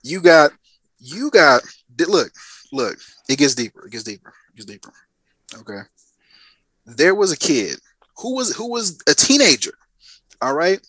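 A man's voice: on the high side, big pitch swings, moderate pace, pauses often, bright, forceful tone, slightly rough, no room sound. A man in his thirties, a podcast guest, telling a story in emphatic casual chat and repeating lines for effect. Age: 30s.

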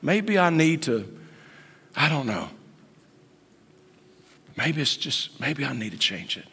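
Man, late 50s, pastor, sermon delivery that slides between hushed whispers and high exclamations, breathy timbre, reverberant sanctuary. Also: very animated, takes it slowly. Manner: swings from hushed whispers to sudden high outbursts. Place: church sanctuary. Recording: long church reverb.

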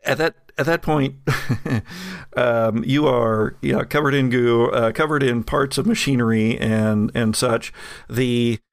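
A man running a tabletop game as game master, narrating with frequent laughter and halting restarts. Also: medium pitch, vocal fry, smooth, no echo, clean studio sound.

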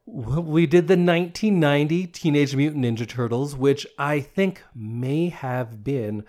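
A man's voice: a little high, unhurried, darkish tone. A man in his thirties co-hosting a casual movie podcast, sick with a sore throat and talking with nostalgic enthusiasm. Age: 30s. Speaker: podcast co-host.

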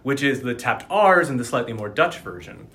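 A man's voice: mid-range voice, animated, sharp tone. A man working as a dialect coach, talking articulately and enthusiastically in an interview. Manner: articulate, enthusiastic, quick bursts of speech. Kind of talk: conversational interview.

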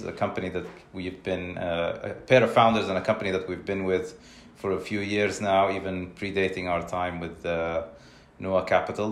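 A man's voice: sits low, reverberant. A man hosting a podcast interview, asking questions with frequent restarts and fillers. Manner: halting, many restarts and fillers. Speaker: podcast host. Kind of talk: casual interview.

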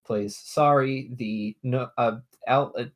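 A woman's voice: very deep voice, slow pace. A young adult woman, a dungeon master, chatting casually on a podcast, rambling, swearing and laughing often.

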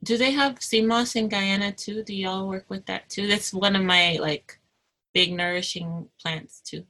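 A woman's voice: mid-range voice, steady tone, a little slow, sharp tone. A woman hosting an interview, chatty and casual with slang and easy laughter. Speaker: interview host.

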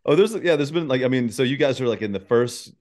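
A man's voice: medium pitch, lively intonation, fast, darkish tone. A man hosting a podcast in casual conversation, rambling as he thinks aloud and swearing easily. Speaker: podcast host. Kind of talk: casual chat.

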